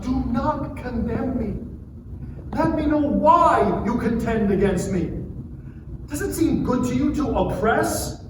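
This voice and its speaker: Distant echoey recording, anguished defiant sufferer acted by a man, declamatory, impassioned, dramatic scripture reading. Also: very high-pitched. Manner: declamatory, impassioned, with drawn-out phrases. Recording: distant, very echoey, noisy live room.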